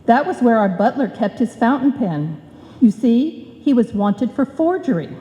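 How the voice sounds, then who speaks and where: A woman's voice: a little high, animated, moderate pace, mellow, close to an everyday voice. A woman playing a genteel, haughty society matron in an old-time radio comedy, formal, refined and airy. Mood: lofty. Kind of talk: old-time radio comedy acting before a live audience. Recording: muffled, echoey live hall recording.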